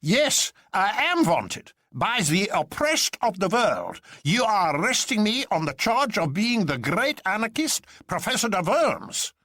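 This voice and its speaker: A man's voice: sing-song, measured pace, hardly any pauses, bright, forceful tone, dry recording, clean studio sound, full character voice. A man voicing a pompous old anarchist professor with a German accent, crying out theatrically in a storybook. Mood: defiant, grandiose. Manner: high-pitched, theatrical, declamatory.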